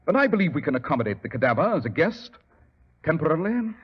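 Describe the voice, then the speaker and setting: An actor playing a brisk, imperious English detective with a British accent in a 1940s radio drama, clipped, formal and dryly dismissive. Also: male voice, on the high side, very animated, breathy edge. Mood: dry, commanding. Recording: dull, noisy old radio recording.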